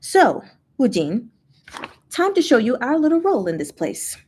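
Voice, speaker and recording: A woman's voice: sits high, big pitch swings, normal speed, a little mellow, a little hiss or hum, voice pushed up. A woman voicing a breezy female ghost who works in a gambling den, playful, casual and teasing, in a storybook reading.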